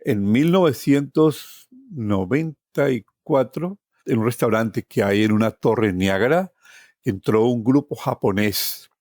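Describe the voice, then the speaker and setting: A man's voice: mid-range voice, unhurried, darkish tone, no room sound, clean studio sound. A middle-aged man with a Colombian accent telling personal stories, with emphatic repetition and conversational tag questions. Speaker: interview guest, tour guide. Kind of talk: personal storytelling.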